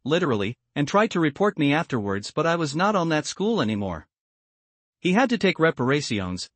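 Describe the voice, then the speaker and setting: A man narrating an online post as a story in a steady, even read-aloud manner. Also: a little high, no echo.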